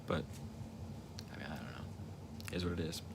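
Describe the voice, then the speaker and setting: Soft-spoken young man with a deep voice. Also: fairly quick, creaky. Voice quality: stutter. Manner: rambling, self-reflective, with repeated words and restarts. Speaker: livestreaming painter. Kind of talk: casual livestream chat while painting. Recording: noticeable ambient noise.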